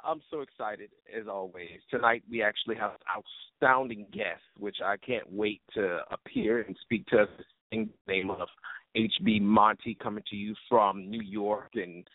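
Guy hosting internet radio, kinda deep voice, rambly and slangy, sounds like a phone. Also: dry recording, narrow, tinny audio. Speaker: radio show host.

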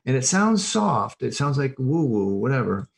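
Emphatic, halting middle-aged man explaining. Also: mid-range voice, big pitch swings, vocal fry. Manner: emphatic, halting and self-correcting, repeats phrases. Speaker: podcast guest, addiction recovery expert. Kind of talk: informal explanatory interview talk.

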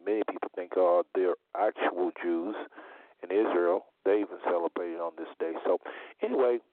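A man hosting a religious call-in show in a deliberate, repetitive way, his voice slightly under the weather. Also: deep voice, no room sound. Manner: deliberate, repeats phrases for emphasis. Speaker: talk show host. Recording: phone-like internet radio audio.

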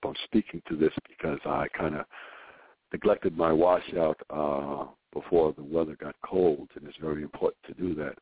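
A hoarse man calling in to a radio show, talking in an earnest, rambling way with many fillers. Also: rumbling low, no room sound. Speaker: caller. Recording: dry, tinny phone line.

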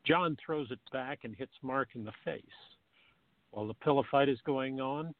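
A man with a gravelly, creaky voice reading scripted narration for a comic radio play, on a tinny, narrow recording. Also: medium pitch, normal speed. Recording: tinny, narrow phone-like sound.

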